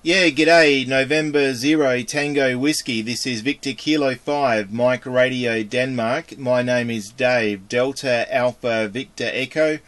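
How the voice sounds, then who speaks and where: Australian man, amateur radio operator, medium pitch, procedural call-sign delivery, friendly on-air manner. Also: fairly even intonation, a little slow, hardly any pauses, fairly bright tone. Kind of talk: ham radio contact, phonetic call signs.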